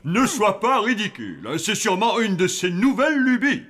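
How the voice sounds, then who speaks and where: A man's voice: sits high, big pitch swings, normal speed, fairly bright tone, a little hiss or hum. A man voicing an easygoing, dismissive zombie father in a French cartoon dub.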